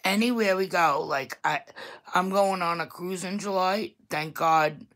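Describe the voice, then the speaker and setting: Deep-voiced woman with thick Staten Island accent. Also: a little slow, a bit bright. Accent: Staten Island New York. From New York. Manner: chatty and blunt, laughs often. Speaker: YouTube vlogger. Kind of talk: casual livestream chat to viewers.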